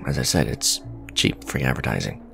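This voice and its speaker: A man playing a smug, suave villain businessman in a romantic ASMR audio drama, sardonic and conversational, teasing, with a low gravelly voice. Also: normal speed, a bit bright, soft background music, exaggerated voice. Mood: smug, matter-of-fact. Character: smug male villain, suave luxury fashion businessman on a date.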